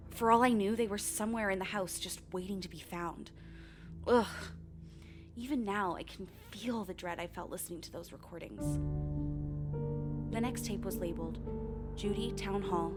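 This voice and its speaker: An actress playing a haunted, wry young woman who narrates her investigation in a confiding, ominous, deliberate way for a radio play. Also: fairly low pitch, close to an everyday voice. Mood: uneasy, confiding, then reflective, ominous. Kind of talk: dramatic first-person radio play narration.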